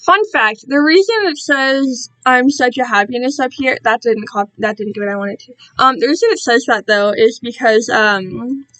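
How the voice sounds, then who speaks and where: A woman's voice: fairly high pitch, moderate pace, hardly any pauses, a bit bright, no room sound, poor audio. A woman chatting casually to viewers on a live stream, rambling and self-deprecating, easily drifting off track. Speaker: streamer reading own fan-written work to viewers.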